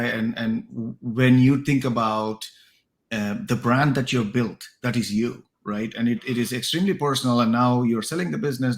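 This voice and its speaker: A man's voice: medium pitch, fairly bright tone. Man thinking aloud in casual discussion. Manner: hesitant, thinking aloud, frequent "right?" tags. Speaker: co-host of a tech discussion show.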